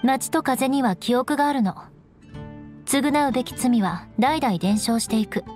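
A young noblewoman knight from an anime game with a high, expressively inflected voice. She is proud and stoic, speaking with measured formality and haughty composure over background music. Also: animated, a little mellow. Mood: calm, resigned. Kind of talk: Japanese anime game voice acting.

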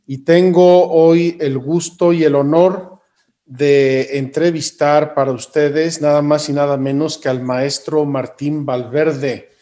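A man, a priest, hosting an interview in a courteous, measured way and thanking his guest warmly. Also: a little high, steady tone. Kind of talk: slow, formal interview hosting.

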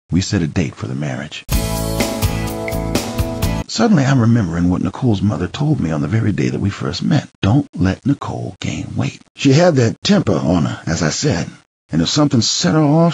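A man reading a first-person memoir as an audiobook narrator, theatrical, swinging between hushed and heated delivery, with a husky, gravelly voice. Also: sits low, sing-song, normal speed, muffled recording, over music.

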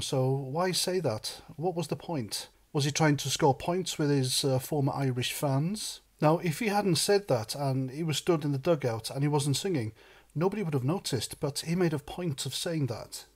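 A man's voice: on the high side, a little mellow. Blunt North Welsh man ranting. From North Wales. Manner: blunt, indignant, sweary. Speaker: vlogger, ex-soldier. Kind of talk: opinion rant to camera.

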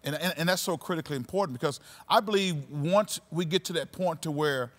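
A man who is a pastor, hosting a podcast and speaking in a measured, affirming way. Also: a little high, fairly bright tone. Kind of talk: conversational interview.

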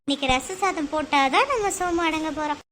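Brief: woman as a stern, threatening mom, very high voice, noisy recording. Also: brisk, a bit bright. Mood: chatty. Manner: scolding, mock-threatening. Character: strict, threatening mother of the house. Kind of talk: family comedy skit. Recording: noisy background.

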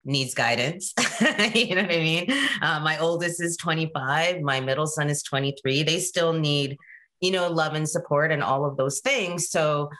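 A woman in her forties from Canada, talking casually on a video call, animated and chatty, often checking in with "right?" and "you know". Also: low voice, moderate pace. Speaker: guest presenter. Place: home room.

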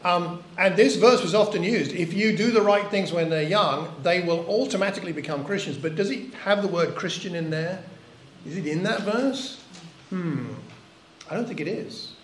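A man's voice: high-pitched, normal speed, a little hiss or hum. An older man preaching a sermon in church, earnest and reflective, often asking rhetorical questions. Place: church hall. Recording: echoey church recording.

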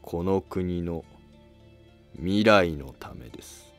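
A man playing a calm, scheming, self-sacrificing court noble in formal archaic speech for a history drama. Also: sits low, takes it slowly, faint music behind, pitched below the performer's own voice, exaggerated voice. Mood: grave resolve.

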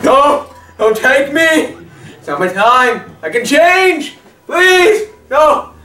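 A man's voice: extremely high pitch, very animated, full character voice. A young man playing a terrified sinner in an amateur film, wailing and pleading in melodrama. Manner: wailing, drawn-out shrieks. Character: terrified pleading male sinner seized by an angel.

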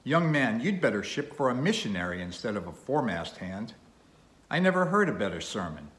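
Guy doing a gruff blustery old whaling captain for a sea novel, really animated, bit roomy. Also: mid-range voice, fairly quick. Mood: boisterous, impressed.

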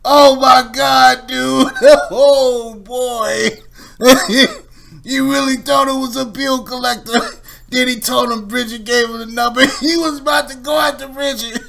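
A middle-aged man giving casual, animated, slangy reaction commentary as a video host. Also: very high voice, measured pace, vocal fry. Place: small home room.

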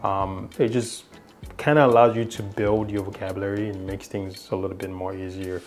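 A man's voice: sits low, little pitch movement, moderate pace, darkish tone, soft background music. A young man, not a native English speaker, casually walking through a device's features in a small indoor room, rambling with many fillers and restarts. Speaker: tech reviewer. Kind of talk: casual product demo.

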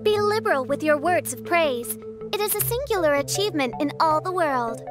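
A woman's voice: sits high, expressive, normal speed, over music. A woman voicing a haughty, theatrical teenage self-styled princess in a video game, with pompous archaic diction. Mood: haughty, grand. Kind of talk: video game character dialogue.

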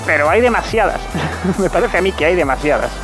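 A man's voice: sits high, expressive, brisk, music in the background, poor audio. A man reviewing a motorcycle while riding it through city streets, talking casually and joking at his own expense, recorded on a helmet camera mic. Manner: chatty, joking, laughs at himself. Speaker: motovlogger.

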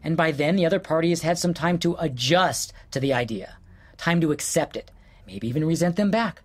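An actor playing an exasperated, sarcastic husband in an audio drama, hurt and profane in a late-night argument. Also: male voice, fairly high pitch, a little fast, lightly acted. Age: 40s.

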